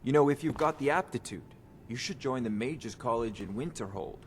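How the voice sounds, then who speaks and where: An actor playing a learned, businesslike court wizard who sells spells in a video game, speaking formally. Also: male voice, medium pitch, expressive, a little fast, slight background noise, lightly acted. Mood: learned, inviting. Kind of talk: video game character dialogue.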